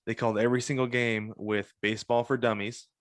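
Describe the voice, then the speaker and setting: A man's voice: medium pitch, flat delivery, brisk, no echo, clean studio sound. A man speaking as a podcast host and interviewer in casual chat.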